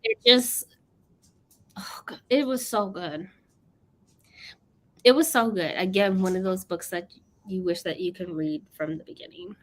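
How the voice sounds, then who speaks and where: A woman in her late thirties co-hosting a casual book chat, chatty and quick to laugh, reading out viewer comments. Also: fairly low pitch, a bit bright, rough recording. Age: late 30s. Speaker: co-host of a book livestream.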